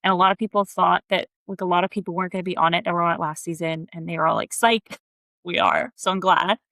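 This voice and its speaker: A woman's voice: on the low side, steady tone, quick pace, fairly bright tone, dry recording. A young woman from Texas, co-hosting a podcast in casual chat, bubbly and full of "like" fillers. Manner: chatty, bubbly, lots of "like" fillers. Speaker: podcast co-host.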